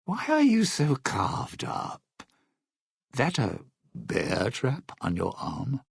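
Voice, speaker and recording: An actor playing a smug, suspicious old lounge singer and thief in a video game, with a raspy, gravelly voice and a sardonic showman's delivery. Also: mid-range voice, huge pitch swings, takes it slowly, no room sound, heavily acted. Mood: wary, mocking. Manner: sardonic, slangy showman's patter. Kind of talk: in-game character dialogue and epilogue narration.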